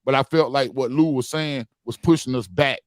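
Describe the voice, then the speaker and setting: A man's voice: mid-range voice, moderate pace. A man in his forties talking earnestly in a heated group podcast discussion, repeating phrases for emphasis. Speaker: podcast panelist.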